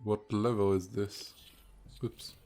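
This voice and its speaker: A man's voice: fairly low pitch, little pitch movement, slow, a little mellow. A man giving casual, offhand commentary while streaming a video game. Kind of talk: casual live playthrough commentary. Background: faint game music.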